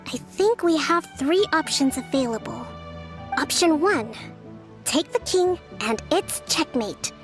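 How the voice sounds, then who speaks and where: A woman playing a gentle, confident young female leader in a video game, speaking in a measured, articulate, formal yet friendly way. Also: high voice. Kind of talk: video game character dialogue.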